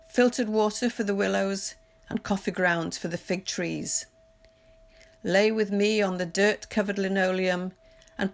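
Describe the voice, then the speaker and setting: A woman reading poetry aloud in a measured, literary recitation, deliberately pausing phrase by phrase. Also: medium pitch, gravelly. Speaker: poetry reader. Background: music.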